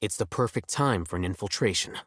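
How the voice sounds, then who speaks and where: A man voicing a cool-headed, calculating strategist in an anime video game, measured and deadpan. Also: lowish voice, a little hiss or hum, lightly acted. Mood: calm, assured.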